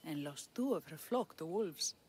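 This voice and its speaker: Solemn priestess over ambient noise. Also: female voice, low voice, expressive, moderate pace, darkish tone. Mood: deferential. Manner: formal, reverent, grandiose. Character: solemn, devout, flattering village priestess. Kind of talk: video game cutscene acting.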